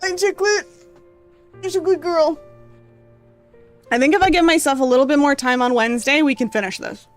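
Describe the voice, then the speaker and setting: Girl streamer reading a game out loud, kinda high voice, getting hoarse, lots of "like" and "um", quiet music behind. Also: steady tone, normal speed, pauses often. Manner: casual, rambling, heavy on fillers. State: losing her voice, coughing. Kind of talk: reading a visual novel aloud and chatting with viewers.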